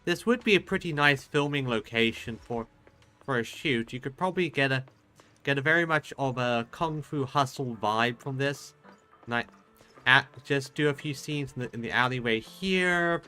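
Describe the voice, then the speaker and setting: Bright-voiced man doing casual game commentary. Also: medium pitch, smooth. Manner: halting, repeats words mid-sentence. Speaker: game streamer.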